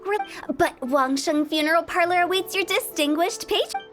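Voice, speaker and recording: An eccentric young woman who runs a funeral parlor in a video game. Her voice is very high, theatrical and teasing, like a glib sales pitch that never lets up. Light music plays behind her. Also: moderate pace, a bit bright. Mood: amused. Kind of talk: video game character acting.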